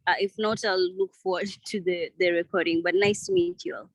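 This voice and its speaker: A woman's voice: fairly low pitch, big pitch swings, a little fast, vocal fry, no echo, lo-fi sound. A woman from Mombasa introducing herself on a video call while in transit. She sounds hesitant and apologetic and says um often. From Mombasa, Kenya. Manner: hesitant and apologetic, many ums. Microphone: video call on an unstable connection.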